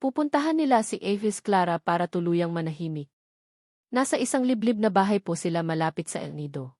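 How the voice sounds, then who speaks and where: A woman's voice: lowish voice, animated, a little fast, darkish tone, dry recording, studio quality. A woman voicing a mysterious informant in a story, giving polite, grave and urgent warnings. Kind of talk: dramatic storybook dialogue.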